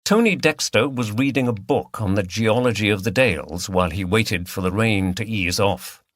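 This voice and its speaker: A man's voice: fairly low pitch, normal speed, fairly bright tone, dry recording, studio quality. A man reading a mystery novel aloud as a scripted audiobook narration.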